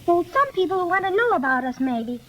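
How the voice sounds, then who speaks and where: A woman's voice: extremely high pitch, sing-song, brisk, fairly bright tone, full character voice, rough recording. A female performer playing an eager, earnest little girl in a 1930s children's radio serial. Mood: explaining.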